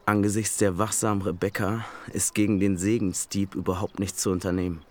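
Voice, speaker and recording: Actor as low-voiced, vengeful young warrior. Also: male voice, flat delivery, brisk, a little mellow, natural voice. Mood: urgent, vengeful. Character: fierce, hot-blooded young warrior nephew urging revenge. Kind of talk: literary radio drama dialogue.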